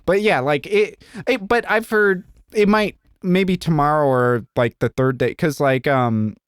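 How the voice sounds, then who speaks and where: A man's voice: a little high, unhurried, clean tone, no echo. Rambling young man, dry sound. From Southern Illinois, USA. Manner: rambling, heavy use of "like" and "um", joking. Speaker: podcast co-host. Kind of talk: casual chat.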